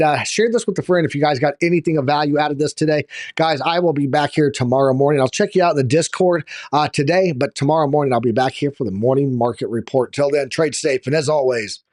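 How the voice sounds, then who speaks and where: A slightly hoarse man hosting a live market show, giving casual chart commentary and addressing viewers informally like buddies. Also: a little high, a little mellow.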